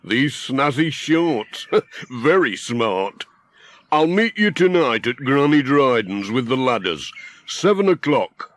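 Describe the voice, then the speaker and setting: A man playing a genial handyman neighbour, easygoing and teasing. He talks in a relaxed, unhurried, everyday voice, with lively pitch swings. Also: no room sound.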